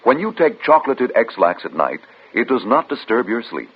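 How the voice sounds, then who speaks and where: Male commercial announcer, vintage radio, persuasive, lively intonation, rough-edged voice, tinny. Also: medium pitch, moderate pace. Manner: reassuring, persuasive delivery. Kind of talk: vintage radio advertisement, scripted. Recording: tinny old radio broadcast recording.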